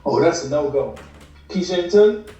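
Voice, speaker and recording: An actor playing a streetwise, frank friend in a naturalistic table read. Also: male voice, a little high, expressive, brisk, warm tone, lo-fi sound, lightly acted. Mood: frank, concerned. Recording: echoey room, distant video call mic.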